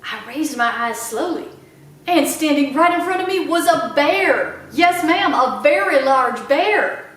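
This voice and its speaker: A woman's voice: sits high, animated, a little slow, fairly bright tone. A woman voicing a proud old small-town Southern lady librarian who tells tales with dramatic, repeated emphasis for a children's storybook. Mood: dramatic, relishing. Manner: emphatic, repeats phrases for effect. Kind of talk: children's audiobook character voice. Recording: very echoey room, slightly distant mic.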